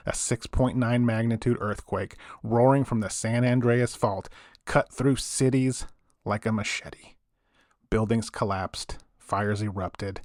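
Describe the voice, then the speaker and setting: Man acting as low-voiced late-night radio host. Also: no room sound, studio quality. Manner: theatrical, suspenseful, punchy short phrases. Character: fictional 1980s late-night paranormal radio host, intrigued storyteller.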